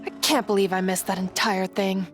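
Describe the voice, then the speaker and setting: A woman's voice: medium pitch, fairly even intonation, a little fast, close to an everyday voice. A woman acting an impatient, blunt young adventurer in a radio drama, brash and sardonic. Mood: miffed. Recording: music under the voice.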